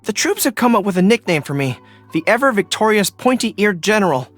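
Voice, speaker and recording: Animated, earnest young dog-eared general. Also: male voice, high-pitched, brisk, soft background music. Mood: earnest, proud. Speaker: military general. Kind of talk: video game character voice acting.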